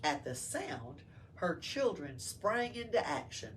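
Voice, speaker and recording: Slow, low-voiced woman reading to children. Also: sing-song, far from the mic. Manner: explains the story in simple words. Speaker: library storyteller. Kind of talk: read-aloud storytelling for children. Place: library room. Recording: faint background noise.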